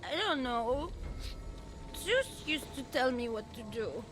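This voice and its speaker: Casting: insecure girlish goddess in a video game, slow, plaintive, swinging pitch, music behind. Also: very animated, heavily acted. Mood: unsure. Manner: hesitant, plaintive outbursts. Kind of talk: animated video game acting.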